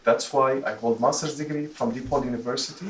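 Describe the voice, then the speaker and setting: A man from Jordan delivers a personal speech in a roomy, echoing space. He is picked up from a distance, his voice mid-pitched, and he moves haltingly through his phrases. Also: steady tone, noisy background. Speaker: club member giving a first speech. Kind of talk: prepared personal speech to a club audience. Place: meeting room, recorded from a distance. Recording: roomy echo, distant microphone.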